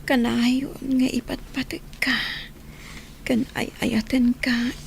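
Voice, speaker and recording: A woman's voice: fairly high pitch, flat delivery, slow pace, vocal fry, a little hiss or hum. A woman playing a tender, apologetic dying wife in a radio drama, speaking in a weak, faltering whisper.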